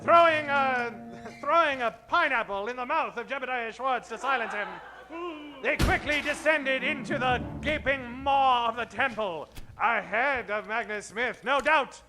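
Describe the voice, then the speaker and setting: A man's voice: very high-pitched, a little slow. A man narrating a mock old-time radio adventure in a dramatic, grandiose, emphatic manner.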